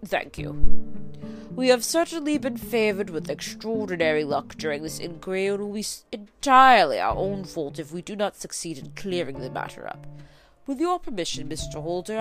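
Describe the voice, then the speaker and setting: A woman playing a confident male detective in a story, speaking in a measured, formal, assured way. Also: on the low side, sing-song, fairly bright tone. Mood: composed, formal. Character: confident male detective, brisk, shrewd and composed. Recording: music behind the voice.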